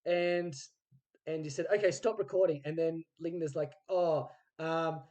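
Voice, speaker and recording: A man's voice: on the high side, fairly even intonation, unhurried, darkish tone, highs cut off. A man hosting a podcast in casual chat, telling anecdotes animatedly and acting out the dialogue in them. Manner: acts out quoted dialogue while telling stories. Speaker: podcast host and interviewer. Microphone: recorded remotely on a phone.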